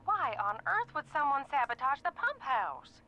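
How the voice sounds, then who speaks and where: A woman's voice: high-pitched, sing-song, moderate pace, bright, forceful tone, lo-fi sound, exaggerated voice. A woman playing a worried, kindly aunt in a video game, fretful and concerned, heard through a telephone filter.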